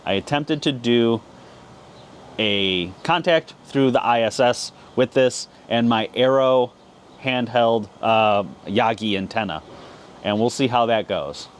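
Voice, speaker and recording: A man's voice: medium pitch, takes it slowly, a little hiss or hum. An American man talking casually as the host of an amateur radio video. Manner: says call signs in phonetic alphabet. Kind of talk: casual vlog talk and radio call-sign exchanges.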